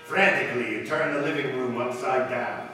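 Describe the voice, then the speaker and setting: A man performing an ominous, taunting radio mystery narrator in a brooding, deliberate 1940s suspense style. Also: mid-range voice, steady tone, over music, lightly acted. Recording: very echoey hall, distant mic, faint noise.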